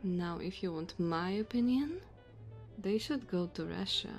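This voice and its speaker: A woman's voice: medium pitch, animated, a little slow, soft background music. A woman playing a sassy, flirty diner waitress in an ASMR roleplay, teasing and chatty.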